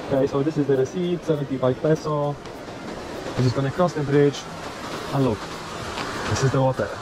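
Male travel vlogger, twenties, casual delivery, mid-range pitch, recorded outdoors over loud background sound. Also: noisy background. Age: 20s. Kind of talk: casual vlog narration. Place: outdoors on roadsides, by scooter and trail.

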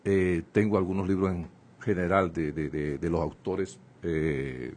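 A middle-aged Venezuelan man answering as a guest writer in a radio interview, speaking haltingly and repeating phrases. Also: deep voice, fairly even intonation, a little mellow. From eastern Venezuela. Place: small radio studio, indoors. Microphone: studio microphone on table. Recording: clear background noise, live webcast.

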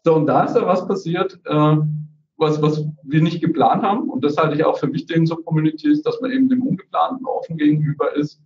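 A man's voice: on the high side, lively intonation, fairly quick, darkish tone. A man on a video call, casually presenting his community platform, dotting his speech with "ne?" and "äh". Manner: chatty, frequent "ne?" tags and "äh" fillers. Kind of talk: casual screen-share presentation. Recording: roomy, distant, dull video-call audio.

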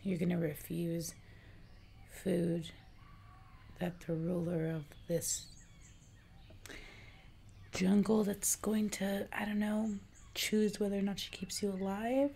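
A woman's voice: medium pitch, level tone, slow pace, gravelly, long pauses, dark tone. A young woman playing a haughty, menacing jungle giantess queen in a whispered, condescending ASMR roleplay, close to the mic. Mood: coaxing, imperious. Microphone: close mic in front of camera. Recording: close mic with audible background noise.

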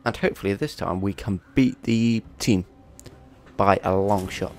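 A man's voice: lowish voice, normal speed, soft background music. A young man with a cold, chatting casually and swearing now and then while commentating his own gameplay. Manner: rambling, chatty, swears at opponents. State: congested with a cold. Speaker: gaming YouTuber.

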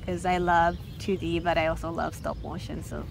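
A woman's voice: fairly low pitch, normal speed, vocal fry. A young woman with a Japanese accent, speaking frankly and casually as a filmmaker guest in an interview, using many fillers. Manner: lively, frank, many fillers like "like" and "you know". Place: festival setting. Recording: noisy ambience behind.

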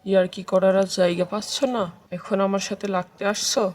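A woman playing a fed-up, nagging village wife in an animated folk tale. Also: medium pitch, fairly quick, lightly acted. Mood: irritated. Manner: scolding, nagging.

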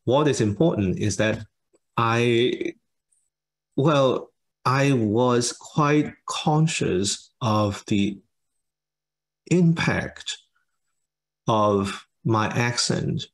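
A man from Hong Kong with a Hong Kong English accent that shifts between British and American, speaking in a measured, thoughtful way over a video call from his study. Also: medium pitch. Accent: Hong Kong English, mixing British and American. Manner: measured, thoughtful, halting pauses. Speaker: guest speaker. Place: home study with bookshelves. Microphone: webcam on video call.

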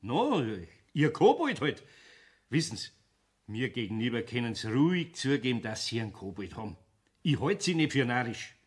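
An actor playing a good-natured, patient older carpenter with a Bavarian accent in a German children's radio play, folksy and conversational. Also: male voice, mid-range voice, big pitch swings, normal speed, husky. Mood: earnest.

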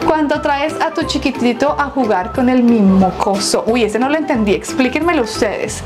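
Upbeat young woman, high-pitched, touring a venue on a lapel mic with music underneath. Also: moderate pace. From Colombia. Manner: chatty, playful, laughs at her own jokes. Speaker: vlogger touring a restaurant. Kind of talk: casual walk-through vlog. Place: large colorful restaurant interior, indoors.

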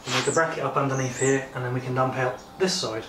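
A man's voice: medium pitch, steady tone, quick pace. A man helping on a motorcycle build, talking through practical fixes in a plain, casual way. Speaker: co-builder in workshop. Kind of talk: casual workshop chat. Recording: echoey, distant, clear background noise.

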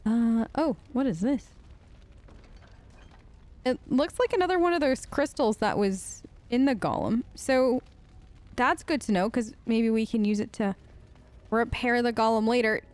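A woman's voice: a little high, a little slow, long pauses, a little mellow. A woman doing playful, chatty role-play commentary over a game, talking to the characters as she plays. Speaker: gamer playing in character.

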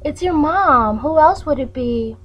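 A woman's voice: high-pitched, animated, unhurried, muffled recording. A woman voicing a distracted, laid-back mother who talks in an offhand way, with a faint hum behind her.

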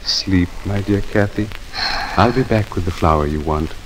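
An actor in an old radio drama playing a gentle, devoted gentleman husband, speaking softly and soothingly. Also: sits low, natural voice, low-quality recording. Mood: soothing, concerned. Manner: soft, measured, soothing. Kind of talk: 1940s radio drama acting. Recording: old radio recording, faint noise.

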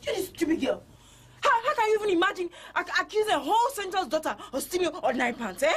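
An actress playing a boutique owner who flatters customers and scolds her staff. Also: very high voice, rapid-fire, slight background noise. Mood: pleading. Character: fawning, bossy female boutique owner.

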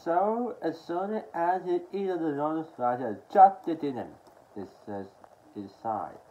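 Young guy mumbling thru a casual show and tell, pitch jumps around a lot, muffled noisy home recording. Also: lively intonation, slow pace, warm tone. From Australia. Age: young adult. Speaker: collector showing his media haul. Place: small furnished room at home, indoors. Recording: dull lo-fi sound with background noise.

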